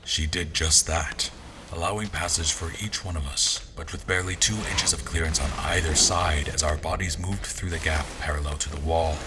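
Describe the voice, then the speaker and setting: A man playing a formal, wry royal adventurer who narrates his journey in a measured audio drama storytelling style. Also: rumbling low, steady tone, background noise. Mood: measured, brooding. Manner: formal, deliberate, storyteller delivery. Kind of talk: first-person scripted narration in an audio drama.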